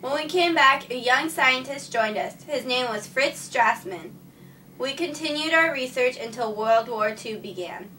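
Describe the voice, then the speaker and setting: A woman's voice: high-pitched, unhurried, pushed and bright, a little hiss or hum. A woman narrating a scripted first-person history story, reading it plainly and earnestly. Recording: distant mic with faint background music.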